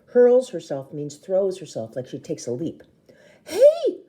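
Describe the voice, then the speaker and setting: Female read-aloud storyteller, teacherly and emphatic, very warm dark timbre, on the lower side. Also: big pitch swings, normal speed. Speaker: teacher reading a novel aloud to children. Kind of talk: expressive storybook read-aloud with brief explanations.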